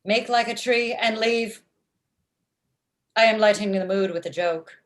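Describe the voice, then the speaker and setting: A woman in her thirties playing a blunt, literal-minded, murderous office robot in a comedy table read, with deadpan, formal phrasing. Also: medium pitch, unhurried, natural voice. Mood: deadpan, matter-of-fact. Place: indoor room at home, video call. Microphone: video call.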